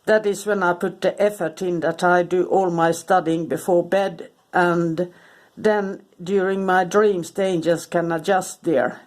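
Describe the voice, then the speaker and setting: Sniffly woman talking haltingly. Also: lowish voice, steady tone, darkish tone. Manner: hesitant, many ums and restarts. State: congested with a cold, sniffling. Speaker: spiritual content creator. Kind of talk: casual informal talk to camera.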